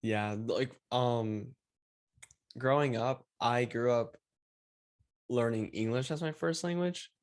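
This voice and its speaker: A young man from Venezuela hosts in a relaxed, easygoing tone with a medium-pitched voice. He fills his sentences with "like" and small restarts, chatting casually. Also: slow, long pauses. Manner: relaxed, filler-heavy with lots of "like". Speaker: host. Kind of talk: casual interview chat.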